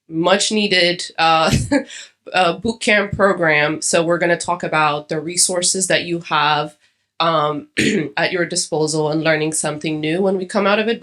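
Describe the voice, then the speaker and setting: A woman's voice: on the low side, fairly bright tone. A young adult woman from Ethiopia giving a conversational conference talk, with many fillers and restarts. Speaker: conference speaker. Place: conference hall.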